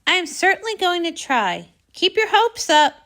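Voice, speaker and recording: Woman as a high-voiced, encouraging heroine pony. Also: sing-song, normal speed, bright, forceful tone, full character voice. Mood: reassuring. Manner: encouraging, inquisitive. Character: kindly, determined young heroine pony, glowing adventurer.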